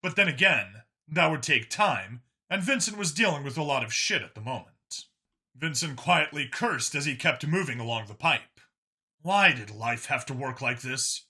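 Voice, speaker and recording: A man's voice: mid-range voice, animated, normal speed, bright, forceful tone, slightly rough. A man reading a science fiction story aloud as a dramatic scripted narration. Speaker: narrator, audiobook reader.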